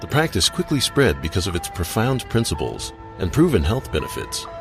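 A man with a deep voice narrates an informational video from a script. His pitch moves in a lively way through the documentary-style read, and music plays clearly behind him. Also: moderate pace.

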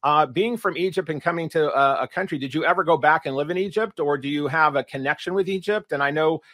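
A male podcast host with a fairly high voice, recorded dry and close with no room sound. He asks long, meandering questions, restarting his sentences and using filler words. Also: fast, fairly bright tone, dry recording. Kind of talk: podcast interview.

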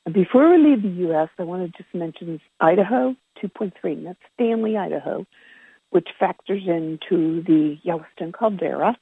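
A husky conference call presenter talks through a report from notes in an informal, conversational way, correcting along the way. The voice has a creaky edge and comes over a narrow, tinny telephone line with some echo. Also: mid-range voice, lively intonation, normal speed.

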